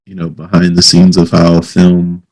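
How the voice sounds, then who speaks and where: A man's voice: low-pitched, monotone, normal speed, darkish tone. A man hosting a casual podcast interview, talking in a hesitant, rambling way and repeating phrases as he builds a thought.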